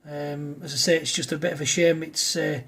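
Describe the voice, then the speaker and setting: A man's voice: fairly high pitch, flat delivery, fairly quick, vocal fry, fairly bright tone, slightly rough. A man, an amateur radio operator, talking in a casual chatty style to a fellow operator.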